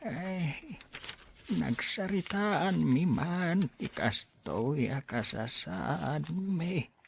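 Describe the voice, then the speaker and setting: Woman acting as a creaky, very slow elderly father. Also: deep voice, expressive, full character voice. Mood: gentle, weary. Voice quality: raspy, creaky. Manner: halting, slow. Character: frail, kindly elderly father in a wheelchair. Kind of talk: radio drama acting.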